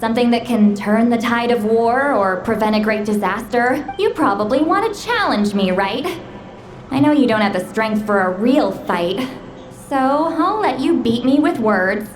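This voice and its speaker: A woman voicing a proud, prickly young shaman in a video game, speaking in a brisk, haughty way. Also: a little high, animated, soft background music, natural voice. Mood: impatient, prickly. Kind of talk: video game character acting.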